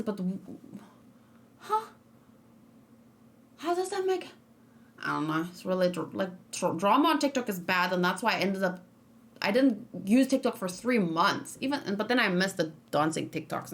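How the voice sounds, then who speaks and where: A woman's voice: medium pitch, sing-song, normal speed. Young adult woman streamer, casual reaction chat, frank and rambling, plenty of fillers. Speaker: streamer reacting to a game trailer.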